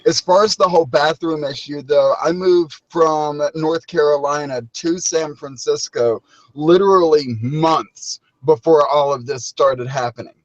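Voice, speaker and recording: A woman with a deep voice talking bluntly and candidly in a casual group chat, swearing freely. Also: a little slow, a little mellow, dry recording. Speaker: caller. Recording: dull lo-fi call audio.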